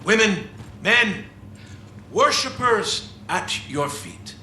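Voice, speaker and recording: A flamboyant, gloating video game warlord. His high voice swoops theatrically from word to word, slow and teasing, in an echoey space. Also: big pitch swings, sharp tone, heavily acted. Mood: gloating, seductive. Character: flamboyant, gloating male warlord tempting an ally. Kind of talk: video game cutscene acting. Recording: echoey space with faint background noise.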